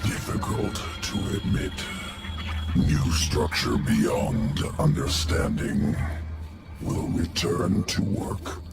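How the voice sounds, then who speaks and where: An actor playing a detached alien geneticist creature in a video game, gurgling out clipped, analytical fragments. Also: male voice, sits low, takes it slowly, exaggerated voice. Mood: grudging. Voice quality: gurgling, gravelly. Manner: clipped telegraphic speech, analytical. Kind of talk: video game character acting. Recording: loud music behind.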